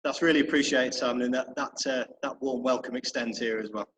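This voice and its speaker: A man's voice: mid-range voice, steady tone, fairly quick, a bit bright, big-room sound. A man with a North Yorkshire accent, chatting on a video call with wry, teasing remarks. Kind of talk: joking video call chat.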